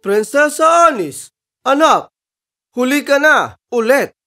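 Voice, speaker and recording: A man playing a firm but encouraging royal father in an animated fairy tale. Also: extremely high pitch, slow, faint music behind, exaggerated voice. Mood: scolding.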